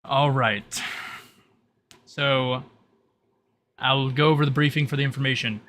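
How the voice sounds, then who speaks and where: A man's voice: mid-range voice, fairly even intonation, measured pace, a bit bright, a little hiss or hum. A man narrating as game master, explaining the rules and briefing players in piecemeal, hesitant phrases.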